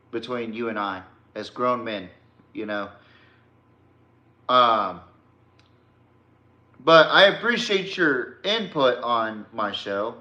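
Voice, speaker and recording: Slow-paced, blunt man with a bright voice. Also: medium pitch. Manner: blunt, confrontational, slangy. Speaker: sports podcast host. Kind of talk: combative sports rant addressed to a rival. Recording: echoey room.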